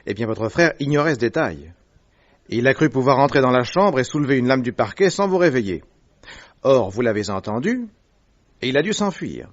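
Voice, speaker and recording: Here is a man performing a commanding detective in an old-style radio play. He speaks crisply and sure of himself, letting his pitch rise and fall with every point. The sound is dull and lo-fi, like an old radio recording. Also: sing-song, normal speed. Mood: explaining. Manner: crisp, assured, explains step by step. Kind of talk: radio drama acting.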